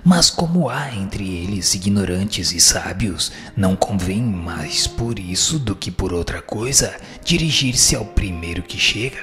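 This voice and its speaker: Man playing solemn spirit teacher, lowish forceful voice, formal and measured, radio drama. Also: lively intonation, soft background music. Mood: solemn, instructive. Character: solemn male spirit, a wise teacher answering questions. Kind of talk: scripted radio drama reading of questions and answers.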